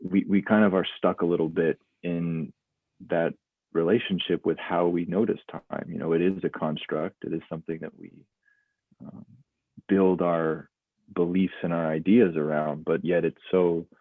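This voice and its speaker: Deep-voiced young man on a tinny line. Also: little pitch movement, moderate pace, no echo. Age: 20s. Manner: thoughtful, loose, many restarts and fillers. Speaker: guest, mindfulness instructor. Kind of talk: reflective conversational talk. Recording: tinny phone-like line, dry.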